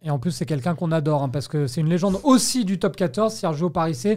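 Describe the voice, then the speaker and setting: A man's voice: a little high, brisk, darkish tone. A man hosting a sports talk discussion on the radio. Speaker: radio host.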